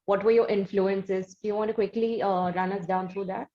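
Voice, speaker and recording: A woman with an Indian accent hosting an interview, putting questions in a halting, roundabout way. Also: mid-range voice, fairly even intonation, background noise. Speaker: interviewer and show host. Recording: dull, muffled lo-fi audio.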